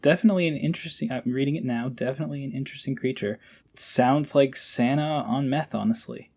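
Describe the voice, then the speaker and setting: A man's voice: mid-range voice, fast, vocal fry, darkish tone. A man chatting casually as a podcast guest, self-deprecating and playfully dramatic. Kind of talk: casual chat.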